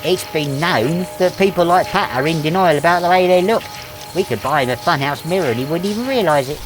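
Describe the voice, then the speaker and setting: A man's voice: fairly high pitch, a little fast, over music, natural voice, rough recording. A man playing a cheeky talking wooden dummy with a British accent and a mocking, sarcastic manner.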